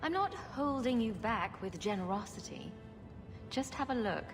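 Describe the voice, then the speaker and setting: An actress playing a wry, assertive young elder sister, heroine of a fantasy video game. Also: female voice, fairly high pitch, expressive, normal speed. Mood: calm. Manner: wry, dry. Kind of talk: dramatic video game acting. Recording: long reverb, music behind.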